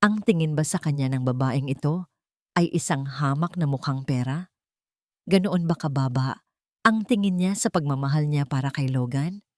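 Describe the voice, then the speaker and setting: A woman reading a scripted romance story in a dramatic, deliberate storytelling manner. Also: low voice, mellow, no echo, clean studio sound. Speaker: story narrator.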